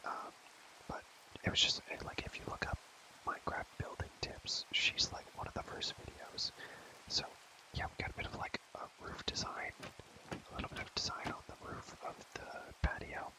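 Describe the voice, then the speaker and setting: Young male ASMR creator for gaming commentary. Whispers throughout, rambles casually in slang, softly repeats himself. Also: moderate pace, low-quality recording.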